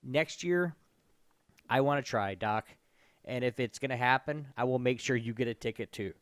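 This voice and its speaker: A man with a mid-pitched voice chats as co-host of a gaming show, recorded dry with no room sound. He speaks informally to viewers and his partner, often repeating a phrase to drive it home. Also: normal speed, no room sound. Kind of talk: casual chat, addressing viewers and co-host.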